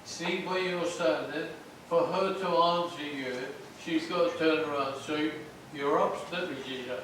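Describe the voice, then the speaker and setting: A man directs actors at a rehearsal in a slightly high voice. He is plain-spoken and instructive, often repeating himself. The sound is distant and noisy, with heavy room echo. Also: unhurried, a bit bright. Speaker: stage director. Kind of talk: giving blocking notes at a play rehearsal. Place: echoey indoor rehearsal space, recorded from afar.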